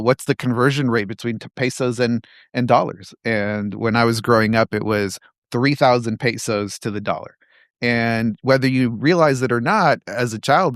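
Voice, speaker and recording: A man's voice: medium pitch, no room sound. A man from San Diego, a guest on a studio podcast, telling his story in a reflective way. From San Diego, United States. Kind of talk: casual interview.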